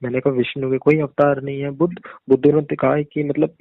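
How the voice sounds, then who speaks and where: A male caller chats in a medium-pitched voice. He is agreeable and repeats himself as he works through his points. The phone recording is dull and muffled, with a dry sound. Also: little pitch movement, fast talker, no echo. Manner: agreeable, repeats affirmations, rambling questions. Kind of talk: casual phone conversation. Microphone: phone call. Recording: muffled narrow-band phone call recording.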